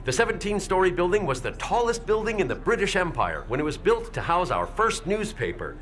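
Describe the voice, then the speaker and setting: An actor playing a jovial veteran tour guide in a radio play, announcing in a theatrical, upbeat manner. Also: male voice, high voice, very animated, fairly quick, fairly bright tone. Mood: showy, upbeat. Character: jovial veteran male tour guide, relentlessly chipper showman.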